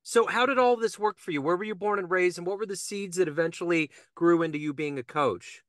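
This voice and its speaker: A man's voice: sits high, brisk, fairly bright tone, dry recording, studio quality. A middle-aged man hosting an interview over a video call, asking casual questions between brief acknowledgments. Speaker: interview host. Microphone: video call webcam.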